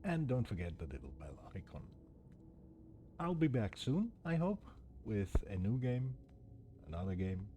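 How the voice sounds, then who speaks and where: A man's voice: lowish voice, sing-song, measured pace, long pauses, mellow. A man hosting a game playthrough with casual, musing commentary, often posing questions to the viewer. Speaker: game streamer and host. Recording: clear background noise, ambience. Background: ambient noise.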